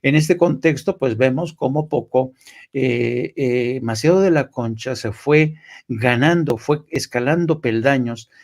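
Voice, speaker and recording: A Mexican man with a medium-pitched voice speaks off the cuff as a journalist commenting on politics. He is emphatic, restarting and repeating words as he builds his point. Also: unhurried.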